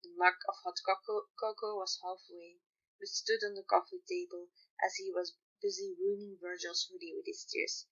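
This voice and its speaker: Bright-voiced woman reading a story. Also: lowish voice, flat delivery, dull audio. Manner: halting, often repeats words. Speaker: fan fiction reader. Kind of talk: story narration.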